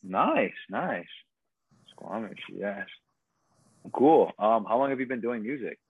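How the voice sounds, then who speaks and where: A man hosting a casual podcast, introducing a guest in a relaxed way with frequent ums. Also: lowish voice, slow pace, a little hiss or hum. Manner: relaxed, lots of ums and fillers. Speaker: podcast host. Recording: tinny phone-like dry audio.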